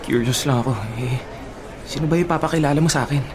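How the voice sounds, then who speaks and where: Fast-talking, earnest young Filipino man, played by an actor. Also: fairly high pitch, a crowd in the background, lightly acted. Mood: nervous. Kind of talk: Filipino radio drama acting.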